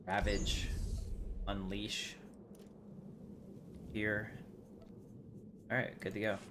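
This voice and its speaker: A man with a fairly low voice hosts a gaming livestream. He talks casually and rambles, thinking aloud as he plays. Clear background noise sits behind his voice. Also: monotone, drawn-out words. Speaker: livestream host.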